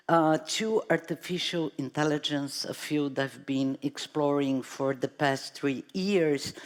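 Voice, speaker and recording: A woman's voice: low-pitched, a little mellow. A woman from Brazil with a Brazilian Portuguese accent, a native Portuguese speaker, speaking thoughtfully as an artist on a conference panel and sometimes searching for words. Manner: thoughtful, searching for words, self-deprecating humor.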